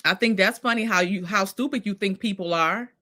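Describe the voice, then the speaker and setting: Bright, sassy woman host. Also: mid-range voice, a little fast. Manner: sassy, exasperated, laughs often. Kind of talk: casual live commentary on reality drama.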